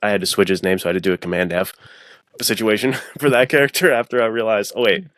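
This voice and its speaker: A man speaking as a guest author in a casual podcast chat, informal and halting, often correcting himself as he goes. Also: on the low side, steady tone, fairly bright tone, no echo. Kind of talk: casual podcast discussion of fiction writing.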